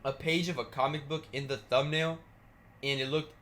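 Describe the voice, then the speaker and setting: A man's voice: on the high side, steady tone, normal speed, bright, forceful tone. Casual slangy man reacting to a video. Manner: slangy, swearing.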